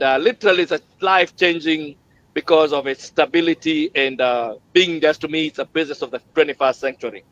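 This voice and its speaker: A man's voice: on the high side, fairly bright tone, slight background noise. Upbeat man hosting a livestream. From Kenya. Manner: exuberant, repetitive, preacher-like cadence. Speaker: host. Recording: dull lo-fi livestream audio.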